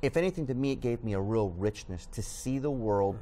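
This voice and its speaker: A man's voice: medium pitch, lively intonation, brisk, warm tone, a little hiss or hum. A middle-aged man whose first language is Spanish, a news editor talking earnestly as a guest in a studio TV interview, with many fillers. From Southern California.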